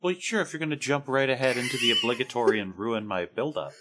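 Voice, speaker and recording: A man's voice: medium pitch, fast talker, fairly bright tone. A man co-hosting a podcast, recounting music history with wry sarcasm. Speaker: podcast co-host. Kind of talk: casual podcast chat about music history.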